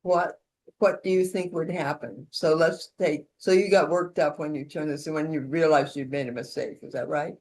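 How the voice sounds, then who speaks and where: A woman's voice: low voice, fairly even intonation, moderate pace, darkish tone, a little hiss or hum. A woman leading an instructional session in an informal, conversational way, with role-played support calls. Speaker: session leader demonstrating peer-support calls.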